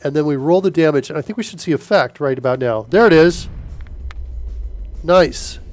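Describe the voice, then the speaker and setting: A man's voice: on the high side, expressive, moderate pace. A man with a stuffy nose hosting a live coding stream, chatting loosely with viewers and using many fillers as he works. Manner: loose and filler-heavy, answers chat as he works. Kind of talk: casual live-stream chat while coding.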